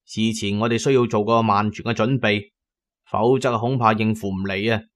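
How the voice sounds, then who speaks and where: An actor with a Cantonese accent playing a pragmatic tomb raider who tells his own adventures in a radio drama, measured and colloquial. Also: on the low side, fairly even intonation, natural voice. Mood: resolute, considered. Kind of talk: first-person radio drama narration and dialogue.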